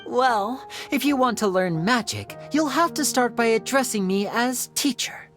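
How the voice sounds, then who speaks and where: A man voicing a suave, wistful young male stage magician in a video game, by turns theatrical and playful or soft and reflective. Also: very high-pitched, animated, measured pace, heavily acted. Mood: teasing. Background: game music.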